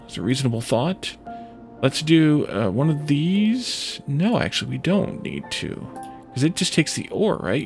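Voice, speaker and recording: A man's voice: mid-range voice, creaky. A man giving casual let's play commentary, thinking aloud in a hesitant, self-questioning way.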